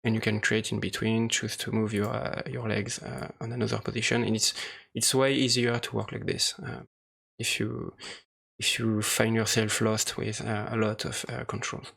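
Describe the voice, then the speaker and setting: A man presenting an unscripted software tutorial, speaking hesitantly in short, halting phrases. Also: on the low side, level tone, creaky, husky. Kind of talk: unscripted screen-recorded tutorial walkthrough. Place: voice-over for a screen recording.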